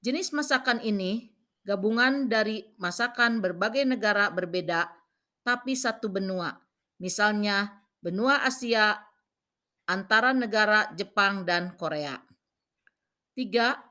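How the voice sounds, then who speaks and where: A woman's voice: medium pitch, sharp tone. Woman teacher narrating a lesson. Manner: instructional, reading out points in short measured phrases. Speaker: vocational school cooking teacher.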